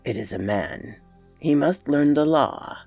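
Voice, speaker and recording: A woman voicing a hulking, gruff beast-man who speaks in a thick voice with a whistling overtone and grunts as he talks. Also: sits low, animated, a little mellow, soft background music, heavily acted. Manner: grunting, slow sing-song intoning.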